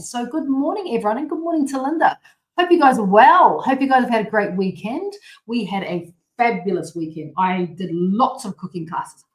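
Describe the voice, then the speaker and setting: Australian woman host, late 40s, chatty and bubbly, mid-range voice, live-stream chat in an echoey room. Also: medium pitch. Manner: bubbly, rambling, with frequent restarts and laughs. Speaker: host of a healthy cooking show.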